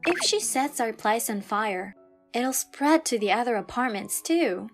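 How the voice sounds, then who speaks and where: Manga-dub heroine, played by a woman: wary young woman, high register, deliberate pace, earnest and accusing. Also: animated, faint music behind. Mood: worried, determined. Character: earnest, wary young bride-to-be standing up to harassment. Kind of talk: dramatized manga story dub.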